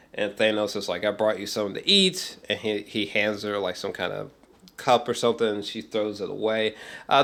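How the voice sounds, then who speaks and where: A man's voice: mid-range voice, a bit bright. A man giving a casual, rambling film review, searching for words and repeating himself, with emphatic, slangy swearing. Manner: emphatic, fannish.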